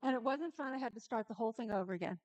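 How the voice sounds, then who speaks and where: A woman with a slightly high voice hosts a relaxed live cooking stream, talking straight to her viewers as she works. She chats freely and laughs easily. The sound is dry and close, with no room echo. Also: rapid-fire.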